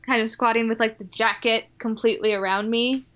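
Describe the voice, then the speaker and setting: Female game player, fairly high voice, casual description of her character's actions. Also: steady tone, fairly quick, a bit bright, dull audio.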